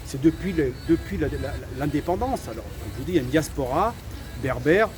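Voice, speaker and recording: A man's voice: on the high side, lively intonation, brisk, over music. A man from France giving his opinion to camera, hesitant, with many fillers and repeated words between firm statements. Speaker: vlogger.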